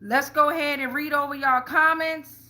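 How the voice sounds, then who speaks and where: Female tarot host, higher-pitched forceful voice, blunt slangy delivery, emphatic repetition, salty language. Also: fairly even intonation, moderate pace. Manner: blunt, heavy swearing, slangy, repeats phrases for emphasis. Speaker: tarot reader, show host. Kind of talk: casual spiritual tarot reading to followers.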